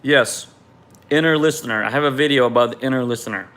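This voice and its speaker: A man's voice: mid-range voice, fast, fairly bright tone. A man from Ukraine, raised in Israel, reads viewer questions aloud on a live stream and answers them in a loose, candid way.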